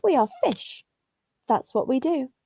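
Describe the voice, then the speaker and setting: A woman's voice: sits high, expressive, a little slow, vocal fry, mellow, husky, heavily acted. A woman voicing cheerful, welcoming pond fish in a children's storybook, with sing-song invitations.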